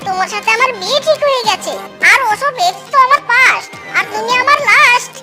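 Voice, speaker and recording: Very high-pitched boastful cartoon fox. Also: brisk. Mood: wheedling. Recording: loud music behind the voice. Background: children's music.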